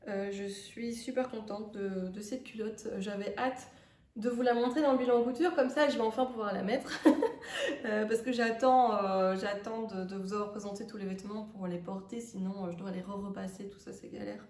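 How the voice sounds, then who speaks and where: A woman's voice: mid-range voice, fairly even intonation, moderate pace, darkish tone. Stuffy-nosed woman, chatty, far from the mic. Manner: chatty, hesitant with many fillers. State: stuffy nose from a cold. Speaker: sewing vlogger. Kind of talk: casual vlog chat. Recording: roomy, distant from the mic.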